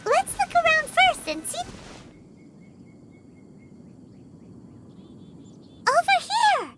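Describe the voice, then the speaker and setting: A woman's voice: extremely high pitch, heavily acted. A woman voicing a tiny, childlike and curious companion in a video game, with a cutesy, wondering way of talking. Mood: amazed, curious. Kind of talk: scripted video game voice acting. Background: loud game music.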